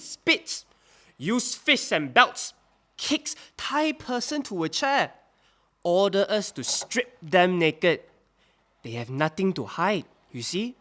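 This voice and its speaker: A man playing a halting war-crimes witness. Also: high voice, fairly bright tone, full character voice. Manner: halting, plain testimony that climbs into agitated outbursts. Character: male wartime witness testifying at a trial. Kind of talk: audio drama acting.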